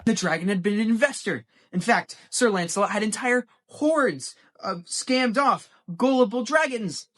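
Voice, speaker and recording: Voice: mid-range voice, animated, moderate pace, hardly any pauses, a bit bright. A teenager from the United States with an American accent, giving a dramatic scripted reading of a short story. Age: teens. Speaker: young writer reading own story.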